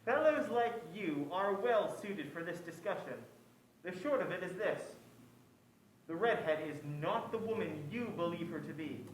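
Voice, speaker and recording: A man's voice: sits high, lively intonation, normal speed. A man playing a wealthy, menacing older father in a noir stage play, formal and grandiose in manner. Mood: smug, menacing. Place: indoor stage play performance. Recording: echoey stage, distant mic, faint noise.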